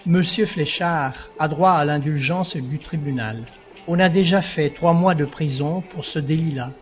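Man acting a courteous judge, slightly high voice. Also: soft background music, lightly acted. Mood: reassuring, judicious. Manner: measured, formal, urbane. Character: courteous, indulgent provincial court president. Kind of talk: French audio drama acting.

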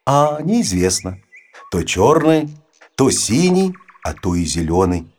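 A man with a husky voice reads an educational narration for children in an expressive, storytelling manner. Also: on the low side, slow. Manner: storytelling, poses questions to the listener. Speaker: audiobook narrator.

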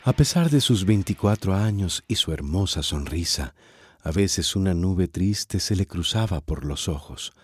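A man's voice: deep voice, animated, measured pace, husky, no echo, studio quality. A man with a Costa Rican accent narrating a radio drama in a scripted storytelling style.

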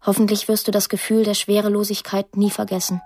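An actress playing a young woman who narrates a radio drama in a plain, reflective, measured way. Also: medium pitch, completely flat delivery, music in the background, natural voice. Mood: reflective, confiding. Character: pensive young woman narrating her estranged family.

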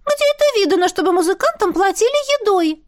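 A woman's voice: extremely high pitch, animated, a little fast, pitched above the performer's own voice. A woman voicing a small, bossy female spirit who talks in a businesslike, indignant way. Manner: businesslike, indignant, hissing when alarmed.

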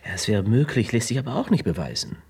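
An actor playing a scheming executive with a persuasive, pleading manner. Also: male voice, mid-range voice, very animated, fast talker, a little mellow, breathy edge. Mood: coaxing. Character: scheming male executive pleading with his lover.

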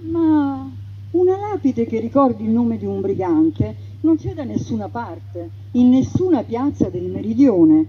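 A woman's voice: on the high side, sing-song, a little slow, slight background noise. Woman narrating in a declamatory stage style. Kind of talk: theatrical storytelling narration. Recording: dull lo-fi radio broadcast.

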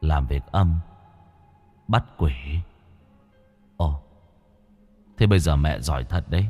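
A man voicing the ghost of a young man, speaking low, hushed and eerie. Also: slow pace, long reverb tail, highs cut off, soft background music, heavily acted.

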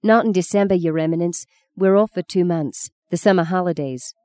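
Audiobook schoolboy, read by a woman: well-mannered, earnest, a little awed, soft-edged clear voice. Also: on the low side, fairly quick, no room sound, lightly acted. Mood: polite, fascinated. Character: polite, awed young schoolboy. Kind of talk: audiobook character reading.

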